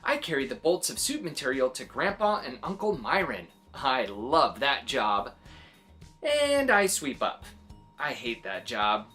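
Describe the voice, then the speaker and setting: Female children's read-aloud host: low register, very animated delivery, expressive reactions, soft music underneath. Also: a little slow. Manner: exclaiming, expressive, adds little reactions. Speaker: children's story reader and host.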